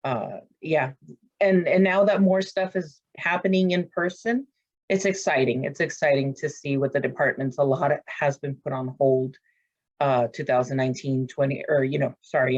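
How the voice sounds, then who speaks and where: A woman's voice: rumbling low, fairly even intonation, normal speed, muffled recording. A woman on staff at a university, talking in a rambling, enthusiastic way with many fillers. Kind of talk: casual video-call chat.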